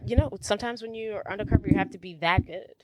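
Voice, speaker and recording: A woman's voice: medium pitch, quick pace, a little mellow, no room sound. A woman co-hosting a podcast, recapping a movie in an easygoing, teasing way. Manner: easygoing, teasing, laughs often. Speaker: podcast co-host. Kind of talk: casual movie recap chat.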